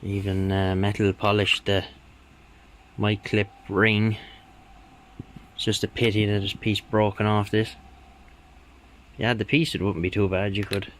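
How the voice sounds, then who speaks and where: Slow, low-voiced man. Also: flat delivery. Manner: unhurried, muttering, hesitant while working. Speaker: hobbyist radio restorer. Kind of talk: casual hands-on repair commentary.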